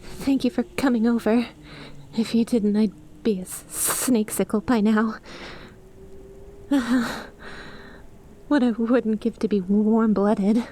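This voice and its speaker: Casting: woman to play a young female lamia best friend for ASMR roleplay, unhurried, soft, hesitant and affectionate. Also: fairly high pitch, steady tone, slight background noise. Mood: cozy, fond.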